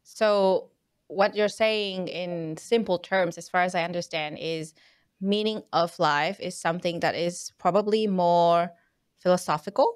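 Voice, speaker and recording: A woman's voice: on the low side, measured pace, clean tone, no echo, clean studio sound. A young woman whose first language is Vietnamese, hosting a podcast interview and thinking aloud with many fillers and hesitations. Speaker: podcast host. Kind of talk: casual interview chat.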